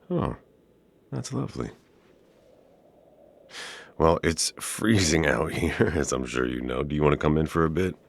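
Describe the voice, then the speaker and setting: Man acting as a male art professor, extremely low pitch, heavy vocal fry, charming, playful, flirty, easygoing, intimate ASMR roleplay. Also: heavily acted. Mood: friendly, welcoming. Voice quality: very deep, gravelly.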